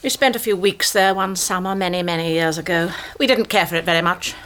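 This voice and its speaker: An actress playing a curt, dismissive middle-aged wife in a radio drama. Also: female voice, fairly low pitch, brisk, a bit bright, natural voice. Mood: cool, disapproving. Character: curt, disapproving middle-aged upper-class English wife.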